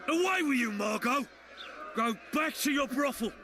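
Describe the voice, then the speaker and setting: An actor playing a coarse, bullying town guard in a video game, barking threats. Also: male voice, very high voice, lively intonation, normal speed, gravelly, background noise, full character voice. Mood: enraged.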